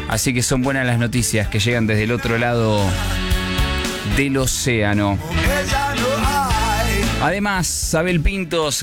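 A man with an Argentine accent hosts morning radio. His voice sits in a middle register as he delivers news in a conversational way, with clear music playing behind him. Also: medium pitch. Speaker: radio host.

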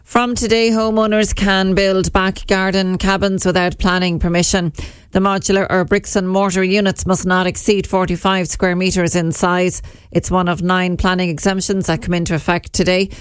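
A woman's voice: on the low side, smooth, no echo. Irish woman reading radio news. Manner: measured, even delivery. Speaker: newsreader. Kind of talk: read radio news bulletin. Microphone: studio microphone.

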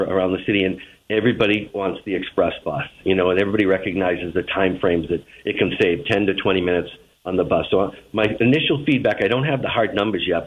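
Male radio guest, Boston accent, lowish voice, official answering questions down a thin phone line. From Boston, United States. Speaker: transit agency general manager, interview guest. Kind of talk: spoken radio interview answers. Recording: narrow tinny phone line.

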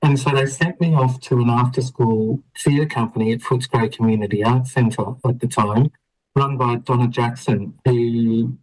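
An Australian man from Melbourne talks as a radio interview guest. He tells his story in a relaxed, conversational way, pausing on ums and laughing easily. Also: medium pitch, rough recording.

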